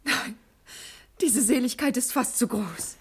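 A breathy, fervent young princess. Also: female voice, a little high, expressive, takes it slowly, full character voice. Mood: overjoyed. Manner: breathy, solemn verse declamation. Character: orphaned young princess, grieving, devoted, fervent. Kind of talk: classical German radio drama acting.

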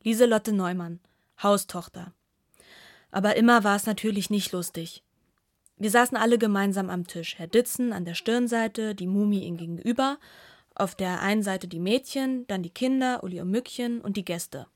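A woman's voice: medium pitch, normal speed, dry recording, lightly acted. A woman playing a former household girl in a radio play, reminiscing fondly as a witness. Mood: reflective.

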